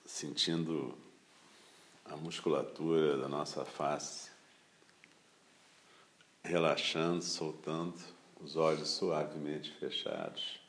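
Very deep-voiced Brazilian man guiding meditation. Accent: Brazilian Portuguese. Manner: calm, unhurried, short phrases with pauses. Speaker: meditation teacher leading a guided practice. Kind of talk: guided meditation instruction.

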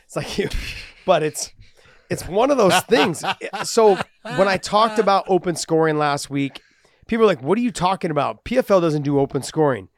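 A man co-hosting a casual sports podcast, talkative and animated, explaining his views at length. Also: fairly high pitch, moderate pace, dry recording. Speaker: podcast co-host.

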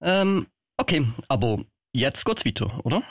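A man's voice: medium pitch, big pitch swings, measured pace, heavy background noise. A man chatting casually as a radio guest, hesitant and questioning. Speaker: guest storyteller on a radio show. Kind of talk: casual, lightly scripted radio banter.

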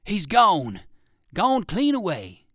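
A man voicing savage hunting dogs in a storybook, barking and shouting in a high, loud voice. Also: sing-song, normal speed, clear, resonant tone, dry recording, clean studio sound. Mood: frustrated. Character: savage barking male dogs, greedy hunters.

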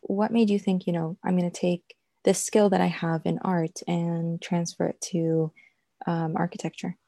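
A woman's voice: fairly low pitch, level tone, moderate pace, mellow, dry recording, studio quality. A woman interviewing a guest, asking probing questions with hesitant ums along the way. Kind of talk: casual interview.

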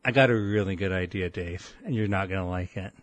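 A deep-voiced man co-hosting a podcast, telling a rambling story full of restarts and quoted voices. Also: brisk. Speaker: podcast co-host. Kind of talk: casual podcast banter.

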